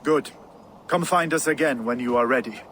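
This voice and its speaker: An actor playing a loyal, shrewd Viking war ally in a video game cutscene, speaking in an earnest, measured manner. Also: male voice, mid-range voice, a bit bright, a little hiss or hum, close to an everyday voice. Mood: steady, determined.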